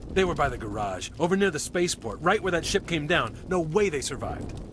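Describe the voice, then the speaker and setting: An actor playing a blunt, pessimistic colony farmer in a video game. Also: male voice, fairly high pitch, expressive, brisk, noisy background, close to an everyday voice. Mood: grim. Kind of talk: dramatic video game acting.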